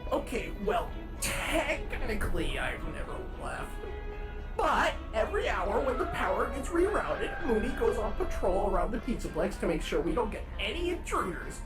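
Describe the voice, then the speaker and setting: A woman's voice: fairly high pitch, big pitch swings, moderate pace, over music. A woman voicing an exuberant, childlike sun robot daycare attendant in a cartoonish video game style. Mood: excitable, chatty. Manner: exuberant, chirpy, eager to please.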